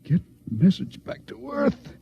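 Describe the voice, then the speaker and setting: An actor playing a dying ship's doctor in a 1950s radio drama, speaking in halting, breathless words. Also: male voice, sits high, wildly swooping pitch, creaky, dark tone, breathy edge, exaggerated voice. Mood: dying gasps. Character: dying ship's doctor, gasping his last warning. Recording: dull old radio recording.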